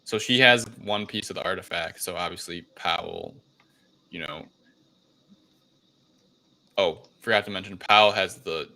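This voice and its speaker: Very low, creaky-voiced man recapping a film. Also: pushed and bright. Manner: loose, halting recap with frequent "like". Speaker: podcast host recapping a film. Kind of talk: casual chat, film plot recap.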